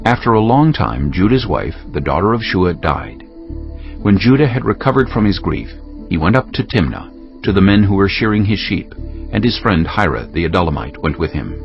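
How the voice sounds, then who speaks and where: A slightly dull recording with light music behind a man narrating scripture. His low voice moves expressively in pitch, measured and solemn in a dramatic storytelling style. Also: big pitch swings.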